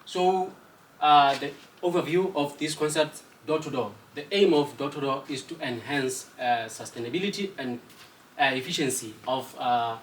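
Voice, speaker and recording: A man's voice: mid-range voice, normal speed, roomy sound. A man from Lesotho presenting a student project, hesitant and full of filler sounds. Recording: distant mic with faint noise.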